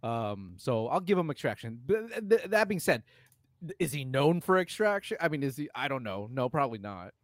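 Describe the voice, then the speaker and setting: A man co-hosting a podcast, chatting casually about films in an animated, slangy, argumentative way. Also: medium pitch, a little fast, dry recording, studio quality. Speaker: podcast co-host. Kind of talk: casual film chat.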